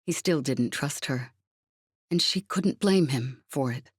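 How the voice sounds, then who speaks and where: A woman's voice: a bass voice, slow pace, husky, dry recording, clean studio sound. A woman reading a scripted novel aloud as an audiobook narrator.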